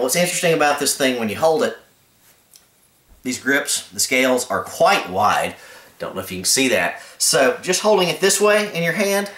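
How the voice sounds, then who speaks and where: A man's voice: lowish voice, fairly bright tone, echoey room. A man hosting his own channel, casually reviewing a cheap knife with a laid-back, rambling manner and blunt opinions. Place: indoors, camera filming a carpeted floor.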